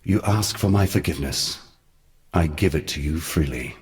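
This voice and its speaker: A low-pitched, noble god from a fantasy game. He speaks gravely and in lofty, measured phrases, like a mentor guiding mortals. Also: lively intonation, background noise. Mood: forgiving, earnest. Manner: solemn, measured, lofty archaic speech. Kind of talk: dramatic fantasy game voice acting.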